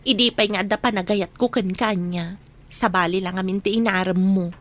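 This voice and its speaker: A woman's voice: mid-range voice, fairly even intonation, normal speed, a bit bright, natural voice. A woman acting a plain-spoken, affectionate village woman in a radio drama. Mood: coy, affectionate.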